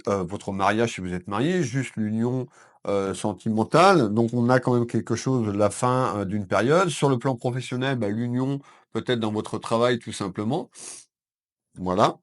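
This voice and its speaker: A man from France with a head cold giving a calm weekend card reading to viewers from his consulting office. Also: mid-range voice, normal speed. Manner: calm, addresses viewers as "mes belles âmes", with frequent fillers. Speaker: psychic card reader. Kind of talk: weekend tarot reading to camera.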